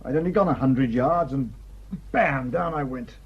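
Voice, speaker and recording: A British actor playing a proud, prickly detective superintendent in a radio drama, curt and dryly sardonic. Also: male voice, mid-range voice, normal speed, breathy edge, dull audio. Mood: impatient, rueful.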